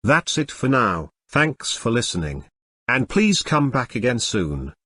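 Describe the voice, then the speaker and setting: A man's voice: mid-range voice, very animated, measured pace, bright, forceful tone, slightly rough. A man hosting an audio theatre show in a formal, scripted style, addressing the listeners. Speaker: host.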